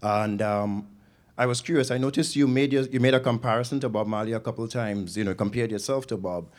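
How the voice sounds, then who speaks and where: A man's voice: medium pitch, a little fast. A man from Trinidad, asking a hesitant question full of ums and restarts.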